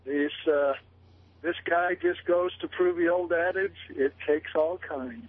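A man phoning in to a talk radio show, folksy and opinionated as he makes his point. His voice sits a bit high and sounds husky. It comes through a tinny, dry phone line. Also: fairly even intonation, unhurried, no echo. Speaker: caller. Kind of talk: casual phone-in chat. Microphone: telephone.

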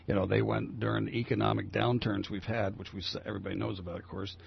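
An older man with a growly, gravelly voice hosting a casual talk radio show, rambling with many fillers and restarts. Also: lowish voice, little pitch movement, quick pace, a little hiss or hum. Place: radio studio. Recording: dull lo-fi radio broadcast.

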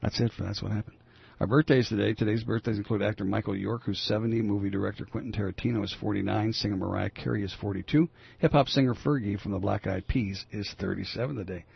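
An older man with a growly, gravelly voice hosting a casual talk radio show, rambling with many fillers and restarts. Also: lowish voice, steady tone, fast talker. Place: radio studio. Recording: dull lo-fi radio broadcast.

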